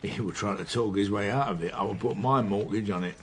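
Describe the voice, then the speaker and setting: An actor playing a determined senior police detective, commanding and dryly sardonic, in a naturalistic radio drama. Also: male voice, on the low side, brisk, a little hiss or hum, natural voice. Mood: determined, exasperated.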